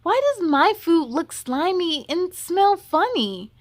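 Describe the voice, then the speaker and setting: A little first-grade girl from a picture book, acted out by a woman reader. Her voice is high and plaintive, self-conscious, pleading to be like the other kids. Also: big pitch swings, slow pace, exaggerated voice. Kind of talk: storybook character voice.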